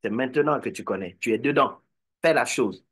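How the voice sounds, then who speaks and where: A man's voice: medium pitch, a little fast, fairly bright tone, breathy edge. A man giving a motivational talk to an online meeting, exhorting and repeating phrases. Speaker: network marketing speaker.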